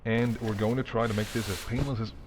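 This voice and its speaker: A man's voice: lowish voice, fairly even intonation, fast, background noise, natural voice. A man playing an apologetic, wry and courteous male mafia boss in an audio roleplay, speaking conversationally and sardonically straight to the listener. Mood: wry, businesslike. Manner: conversational, sardonic, exasperated, softening into polite charm.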